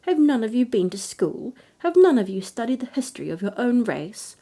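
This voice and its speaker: A woman's voice: a little high, very animated, moderate pace, mellow, voice pushed up. Woman playing a firm, no-nonsense king. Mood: indignant, firm. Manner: emphatic, reasoning. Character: firm, no-nonsense king, protective husband and father.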